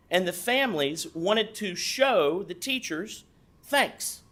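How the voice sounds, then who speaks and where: A man speaking loudly and at length at a state board meeting, walking the room through a tradition. His voice sits a little high for a man. He sounds practiced at public speaking. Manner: loud voice; explanatory, storytelling tone. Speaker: past board chair presenting an award. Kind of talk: formal speech at a board meeting.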